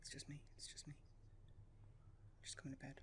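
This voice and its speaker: A man's voice: brisk, in a whisper, natural voice. A man with an Irish accent acting a grieving young husband in a radio play, evasive and soothing, repeating his reassurances. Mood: subdued, tender.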